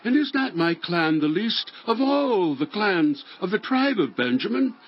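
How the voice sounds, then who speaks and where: A man's voice: high-pitched, very animated, measured pace, heavily acted. An actor playing a humble, earnest young man in a dramatized audio Bible, with a questioning delivery. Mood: humble, disbelieving. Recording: dull lo-fi audio.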